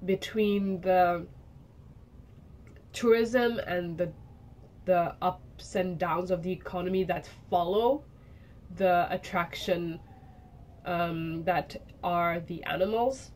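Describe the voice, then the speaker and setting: A young woman from Zimbabwe and Kenya talks through a personal student portfolio in an unhurried, reflective way. She often stops and restarts her sentences as she gathers her thoughts. Faint noise hums beneath her voice. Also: lowish voice, steady tone, a little mellow. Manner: reflective, with frequent restarts and hedges. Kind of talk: personal spoken presentation.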